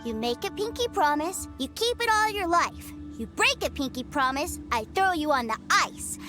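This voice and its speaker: A woman's voice: high voice, very animated, moderate pace. A woman voicing a cheerful, stubborn little boy in a game cutscene, talking in an eager, sing-song way. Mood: gleeful. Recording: game cutscene with loud music. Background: game music.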